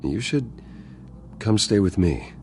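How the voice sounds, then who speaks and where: A man's voice: fairly low pitch, big pitch swings, slow pace, noisy background, heavily acted. An actor playing a composed, guarded American businessman who is protective of his friends, in a video game drama. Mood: calm, concerned. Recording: music behind the voice. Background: music.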